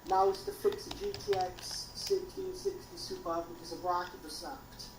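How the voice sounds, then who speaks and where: A woman's voice: fairly low pitch, huge pitch swings, normal speed, noisy background. A woman with a Welsh accent casually giving step-by-step tech advice. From Wales. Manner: explains step by step. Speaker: guest helping troubleshoot. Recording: echoey room, distant mic.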